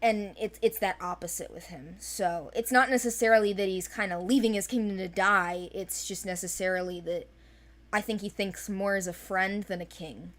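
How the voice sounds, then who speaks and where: A woman talking casually and off the cuff as the creator of an animated series briefing people who want to audition. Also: mid-range voice, normal speed. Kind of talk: casual unscripted discussion.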